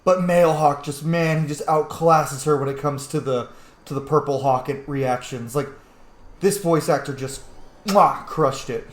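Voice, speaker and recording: A man's voice: fairly high pitch, unhurried, roomy sound. A man giving casual, slangy, opinionated commentary as he plays a game. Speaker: game streamer.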